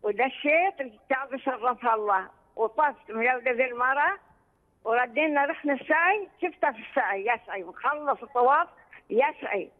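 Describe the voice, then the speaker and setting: High-voiced caller woman on a tinny phone line. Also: a little fast, long reverb tail. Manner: long rambling personal story, anxious. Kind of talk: phone-in question to a religious scholar.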